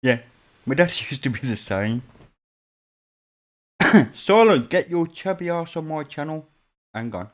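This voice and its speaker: A man's voice: on the high side, sing-song, measured pace, long pauses. A man with a Birmingham accent hosting a casual live stream chat, talking loosely and repeating words as he goes. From England. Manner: chatty, stumbles and repeats words. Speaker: stream host.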